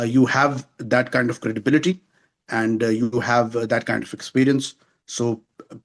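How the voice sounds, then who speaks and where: A man in his forties from Pakistan, answering interview questions over a video call in a measured, formal way with many pauses. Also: mid-range voice. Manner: measured, formal, frequent hesitations. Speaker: interview guest. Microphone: remote video call.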